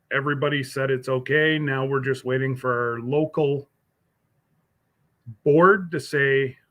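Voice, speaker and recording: A man's voice: mid-range voice, a bit bright. A man hosting a casual live stream, rambling along as he answers viewers by name, with many fillers and restarts. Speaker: livestream host.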